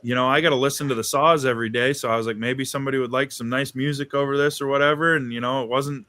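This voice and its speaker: A man's voice: medium pitch, steady tone, fast, bright, forceful tone, no room sound. Laid back guy from maine rambling about his channel, says yep a lot, dry close audio. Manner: easygoing storyteller, frequent "you know" and "yep". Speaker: host. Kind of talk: casual chat.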